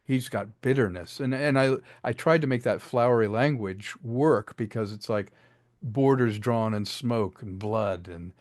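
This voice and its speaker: A man's voice: mid-range voice, unhurried, a little mellow, no room sound, studio quality. A man talking casually and enthusiastically as a writer and director being interviewed about his work. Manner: chatty, enthusiastic, acts out bits of dialogue.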